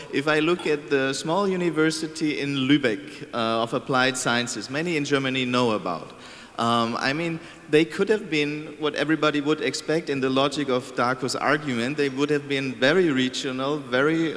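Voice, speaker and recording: A man's voice: mid-range voice, a bit bright. A man in his forties speaking as a panelist in a discussion, into a handheld microphone. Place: large conference room with audience. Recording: echoey hall over handheld microphone.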